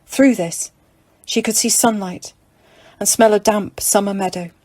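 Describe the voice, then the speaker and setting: A woman reading scripted fiction as an audiobook narrator, in a measured, dramatic storytelling manner. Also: mid-range voice, steady tone, a little mellow, dry recording.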